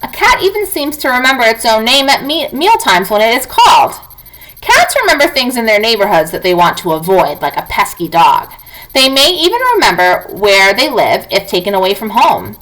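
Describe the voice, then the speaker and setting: A woman teacher reading non-fiction aloud to young students in an expressive, clearly enunciated, instructive way. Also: a little high, normal speed, poor audio.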